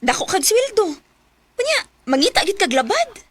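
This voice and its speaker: A woman's voice: very animated, moderate pace, a bit bright, no room sound, heavily acted. A woman acting a bossy, disapproving older sister in a radio drama, nagging with a Cebuano Filipino accent. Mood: indignant. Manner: shrill, nagging.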